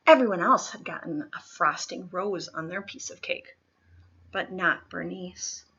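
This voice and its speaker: A woman reading a children's picture book aloud in an expressive, dramatic way, leaning on key words. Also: lowish voice, creaky, muffled recording. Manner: dramatic, deliberate storytelling with stressed key words. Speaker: storybook reader.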